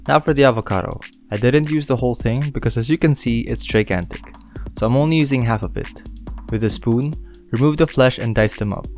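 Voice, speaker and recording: A man from the Philippines walks viewers through a home recipe in voice-over. He speaks in a relaxed, instructional way with occasional dry jokes, and faint music plays behind him. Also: medium pitch. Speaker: cooking channel host. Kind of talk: voice-over cooking narration.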